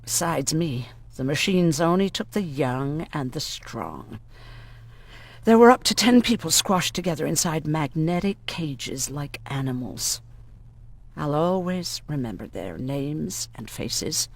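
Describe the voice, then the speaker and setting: A hardened, sardonic woman doctor in a game drama. Her voice is low and very expressive, with blunt, biting lines. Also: measured pace, full character voice. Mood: bitter, reflective. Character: hardened, sardonic female doctor and camp survivor. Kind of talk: game voice acting, dramatic dialogue.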